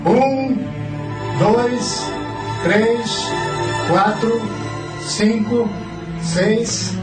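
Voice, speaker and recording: A man's voice: very high voice, very slow, heavily acted. A man playing a commanding Roman general barking orders in a radio drama. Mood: stern, commanding. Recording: distant, echoey, under loud music.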